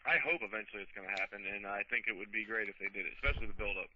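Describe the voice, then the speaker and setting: A man co-hosting a wrestling talk show who talks fast and states his opinions bluntly. His voice sits at a middling pitch. The dry, muffled recording sounds lo-fi. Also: no echo. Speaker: radio co-host. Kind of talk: casual wrestling talk radio. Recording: dull lo-fi internet radio audio.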